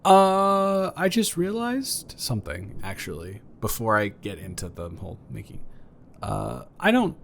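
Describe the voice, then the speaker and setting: A man's voice: on the low side, wildly swooping pitch, measured pace, gravelly, a little hiss or hum, heavily acted. A man improvising a flirty, awkward neighbor in a romantic roleplay audio, stammering and earnest as he talks. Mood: teasing, friendly.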